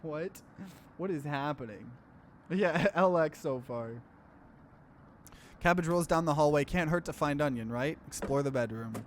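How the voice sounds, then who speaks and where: Slightly high-voiced man narrating a game. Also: measured pace, long pauses. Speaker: gaming let's play host. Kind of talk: reading visual novel narration aloud with casual commentary.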